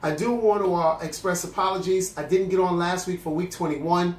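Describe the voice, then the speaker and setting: A man's voice: high voice, brisk, a little hiss or hum. A young adult man who is congested and has a cough, hosting a celibacy video series and talking candidly about faith in an earnest, preacherly way with frequent restarts. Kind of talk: candid faith talk to camera. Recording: echoey room.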